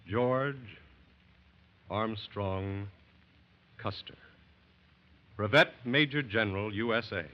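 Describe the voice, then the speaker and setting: Stately male radio announcer, muffled recording. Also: medium pitch. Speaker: announcer reading sponsor message. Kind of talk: formal scripted 1940s radio announcing.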